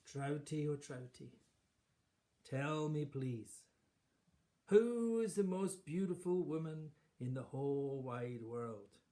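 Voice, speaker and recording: A man voicing a vain, scheming queen in a shrill, whiny, high put-on voice. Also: lively intonation, slow, dark tone. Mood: pleading.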